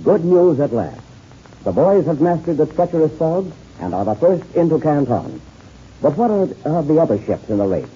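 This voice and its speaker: A man's voice: fairly high pitch, big pitch swings, normal speed, pauses often, mellow, slight background noise. A man announcing a 1930s radio serial in a dramatic scripted narration style. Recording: muffled, lo-fi old radio recording.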